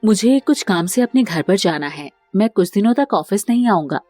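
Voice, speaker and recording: A woman's voice: mid-range voice, animated, moderate pace, mellow, no echo, faint music behind, natural voice. A woman voicing an evasive young office man, a placating, defensive boyfriend in a cartoon family drama. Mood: apologetic.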